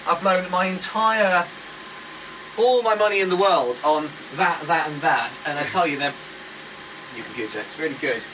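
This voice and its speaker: Rambling high-voiced male radio DJ. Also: normal speed, recorded from a distance, background noise. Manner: rambling, self-mocking. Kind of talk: live radio chat. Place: radio studio. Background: loud electronic music.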